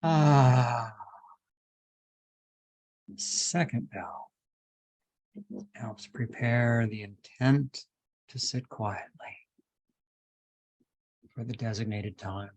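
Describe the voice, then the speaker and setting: Slow, low-voiced male meditation guide. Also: low-quality recording. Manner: calm, deliberate, measured phrasing with long pauses. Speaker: meditation teacher.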